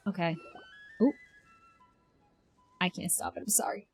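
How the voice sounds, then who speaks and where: A woman's voice: lowish voice, fairly even intonation, unhurried, creaky, over loud music. A woman streaming a game, chatting casually and expressively with viewers in an informal, slangy way. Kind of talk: casual livestream reaction chat.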